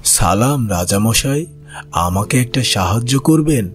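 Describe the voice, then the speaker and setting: A man's voice: medium pitch, expressive, measured pace, faint music behind, lightly acted. A man voicing a solemn, vengeful old wandering holy beggar in a fairy-tale cartoon. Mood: pleading, respectful.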